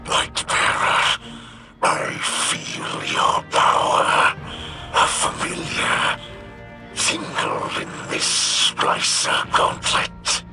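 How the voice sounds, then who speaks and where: An actor voicing a cruel, fanatical undead alien warlord in a video game, with a gravelly voice and a halting, grandiose delivery. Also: male voice, music in the background. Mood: hungry, menacing. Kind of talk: dramatic video game villain acting.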